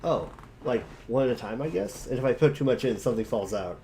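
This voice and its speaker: A hapless, sheepish young teenage boy, played by a man, grumbling casually in an amateur webcomic reading. The pitch is middling and there is faint noise behind the voice. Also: expressive, brisk, dark tone, close to an everyday voice. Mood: sheepish, unsure.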